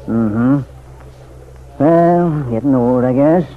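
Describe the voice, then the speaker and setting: Through a thin, phone-like vintage radio recording comes a small-town sheriff with a medium-pitched voice. He sounds worn down and guarded, answering in a laconic, folksy, dryly skeptical way. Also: very slow. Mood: glum, evasive. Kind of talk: old-time radio drama acting.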